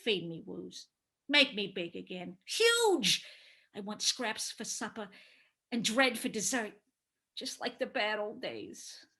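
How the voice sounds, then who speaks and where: Sly, slow-talking monster played by a woman. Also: medium pitch, big pitch swings, pushed and bright, exaggerated voice. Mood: scheming, hungry. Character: sly, hungry scheming trunked monster feeding on fear. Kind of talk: dramatized livestream play reading.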